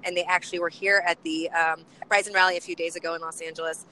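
A woman co-hosting from a car, bubbly and rambling, her voice worn low from chanting. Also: flat delivery, quick pace, bright, forceful tone, a little hiss or hum, low-quality recording. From US West Coast. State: voice getting lower from chanting. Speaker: co-host. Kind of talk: casual co-host chat, road trip update.

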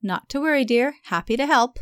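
Storybook part: a male narrator playing a motherly, fussing receptionist lady, pitched well up and a touch distant. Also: expressive, fairly quick, fairly bright tone, smooth, no room sound. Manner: motherly, calls everyone dear. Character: kindly plump older woman receptionist. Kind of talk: audiobook character voice.

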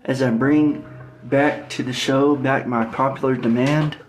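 A man hosting a podcast, giving a casual outro in a halting, phrase-by-phrase manner. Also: mid-range voice, steady tone, a little hiss or hum. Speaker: podcast host.

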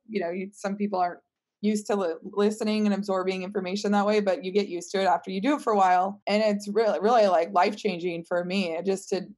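A woman guest answering in a casual interview, explaining things in an emphatic, conversational way. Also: mid-range voice. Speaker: podcast guest, company team member.

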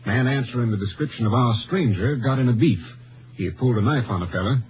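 An actor playing a methodical, sceptical Texas Ranger captain in a 1950s radio crime drama, brisk and businesslike. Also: male voice, mid-range voice, lightly acted. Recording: narrow, faintly noisy old radio recording.

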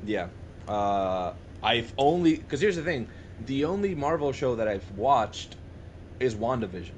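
A man's voice: mid-range voice, sing-song, unhurried, a little mellow, a little hiss or hum. A young man chatting casually and enthusiastically with friends as a podcast co-host, often restarting and repeating himself mid-thought. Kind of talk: casual chat among friends.

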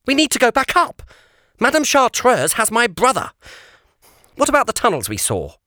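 A man's voice: very high-pitched, huge pitch swings, normal speed, bright, forceful tone, dry recording, studio quality, pitched above the performer's own voice, exaggerated voice. A man voicing a frightened young boy who whines and complains. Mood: upset, whiny. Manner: complaining, upset. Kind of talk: audiobook character voice.

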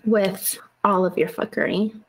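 A young woman from Chihuahua, Mexico, co-hosting a casual podcast and telling animated stories with laughs and swearing. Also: mid-range voice, unhurried, poor audio. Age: young adult. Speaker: podcast co-host. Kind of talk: casual chat.

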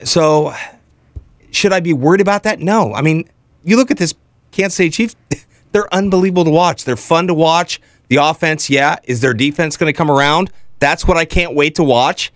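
A man's voice: fairly high pitch, moderate pace, dry recording. A man co-hosting sports radio, debating casually and emphatically, often repeating himself, close on a studio microphone. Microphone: close studio broadcast microphone.

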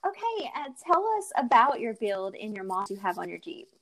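A woman co-hosting a casual podcast, friendly and upbeat, with quick agreeing interjections. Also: medium pitch, big pitch swings, fairly bright tone. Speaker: co-host.

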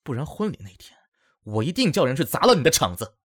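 A husky, menacing man. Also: medium pitch, big pitch swings, quick pace, a bit bright. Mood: threatening. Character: angry, threatening man warning off a rival. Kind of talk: Chinese audio drama acting.

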